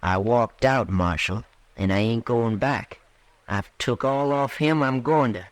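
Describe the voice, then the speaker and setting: An American actor playing a defensive, resentful young farm son in a radio western, with a rural accent and an evasive, clipped manner. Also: male voice, medium pitch, measured pace, dry recording, close to an everyday voice. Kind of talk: 1950s radio western acting.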